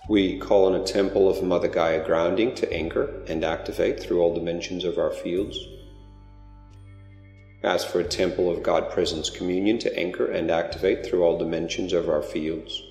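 A man leading a guided meditation in a slow, gentle, drawn-out way. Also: low voice, steady tone. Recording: roomy reverberant recording with soft music. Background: new-age music.

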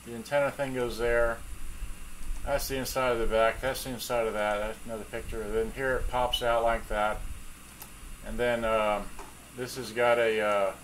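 A middle-aged man giving a casual, halting, off-the-cuff how-to commentary as he works at a bench, picked up by a camera mic. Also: medium pitch, little pitch movement, a bit bright. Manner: rambling, halting, talking as he works. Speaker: hobbyist repair video maker. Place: cluttered indoor workbench at home. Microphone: camera mic, off-screen speaker. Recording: clearly noisy home workshop recording.

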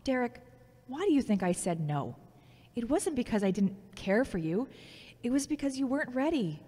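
A woman's voice: on the high side, big pitch swings, moderate pace, mellow. An actress playing a frank, logical, critical yet caring former girlfriend in a scripted audio drama. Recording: long hall-like reverb.

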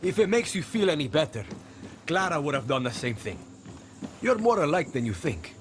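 A man's voice: fairly high pitch, sing-song, moderate pace, noisy background. An actor playing a dry, wisecracking male guerrilla fighter. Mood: reassuring. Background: game soundtrack music.

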